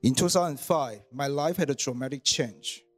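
A man, reading his personal testimony at church in an earnest, measured way. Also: mid-range voice, soft background music. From Taiwan. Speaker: church member giving testimony.

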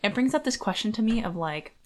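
A woman's voice: on the high side, expressive, fast. A woman co-hosting a podcast in a casual, colloquial book discussion, slipping conversationally into quoted lines. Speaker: podcast co-host.

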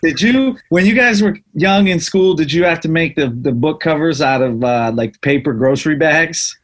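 A man co-hosting a podcast, asking curious questions in an animated way. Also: fairly high pitch, normal speed. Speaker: podcast co-host. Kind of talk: casual podcast interview.

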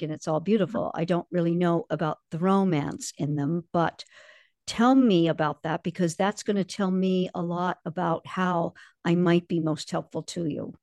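A woman hosting an interview, asking measured and probing questions. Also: on the low side, a little mellow, dry recording. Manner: measured and probing, with many short acknowledgments. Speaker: host. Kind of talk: interview with a guest.

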